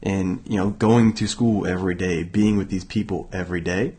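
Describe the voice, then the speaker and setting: A young man from Massachusetts hosting a motivational self-help show, hoarse and raspy from illness, talking casually with many fillers and restarts. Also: deep voice, fairly even intonation, moderate pace, a little mellow. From Massachusetts, USA. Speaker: podcast host.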